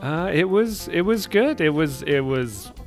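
A man's voice: on the high side, animated, unhurried, faint music behind. A Canadian man co-hosting in a casual chat, speaking hesitantly and often repeating his words. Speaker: sound man and co-host.

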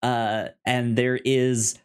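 A man with a mid-pitched voice, speaking slowly as a podcast co-host. He is casual and unscripted, halting often and repeating the starts of words as he goes. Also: flat delivery, smooth.

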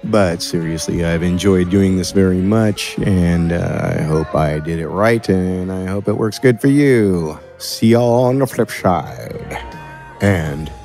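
A man's voice: sits low, takes it slowly, gravelly, darkish tone, soft background music. An American man talking casually and playfully as a podcast guest, explaining how he works in a laid-back, colloquial way. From California, USA. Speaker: podcast producer and audio editor, guest.

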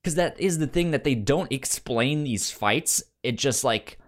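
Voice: medium pitch, lively intonation, unhurried. A podcast co-host chatting casually about comics, with a stammer that often repeats sounds and words.

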